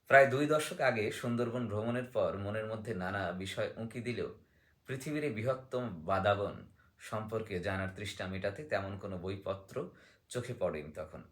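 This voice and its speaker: A man speaking as a guest in a book discussion, explaining in a teacherly way, sometimes reading aloud and sometimes posing questions he then answers. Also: lowish voice.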